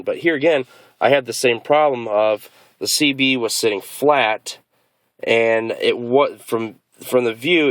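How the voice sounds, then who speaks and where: A man's voice: lowish voice, a bit bright, dry recording. A man giving a casual, unscripted video walkthrough as a hobbyist, explaining in a hesitant, rambling way. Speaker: car hobbyist presenting a DIY install.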